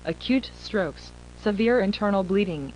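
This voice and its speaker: A woman reading a scripted emergency broadcast warning in a formal, impersonal, measured way. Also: mid-range voice, animated, a little mellow. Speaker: emergency alert announcer. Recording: dull lo-fi broadcast with faint noise.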